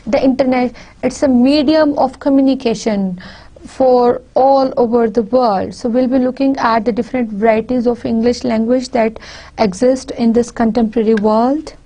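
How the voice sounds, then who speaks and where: A woman from Pakistan with a Pakistani accent, a native Punjabi speaker, giving a university lecture deliberately, often repeating and restarting phrases. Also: fairly high pitch, steady tone, dark tone. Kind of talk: recorded lecture.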